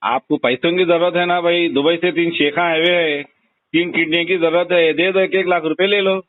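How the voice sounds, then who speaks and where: A man with a Hyderabadi accent speaks in a fairly high voice. He is blunt and insistent in a casual, conversational way. The sound is a narrow, dry telephone recording with very little room in it. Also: steady tone, moderate pace, no echo. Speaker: phone call recipient. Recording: tinny narrowband phone call recording.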